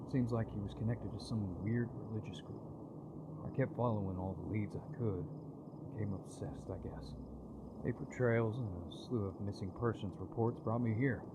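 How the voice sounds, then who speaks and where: World-weary policeman, male actor, dry and wry, fairly low register, game dialogue over clear night-insect noise. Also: fairly even intonation, moderate pace, natural voice. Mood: guarded, weary. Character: gruff, world-weary male police officer searching for his partner. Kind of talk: video game dialogue acting. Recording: noisy game audio with cricket ambience.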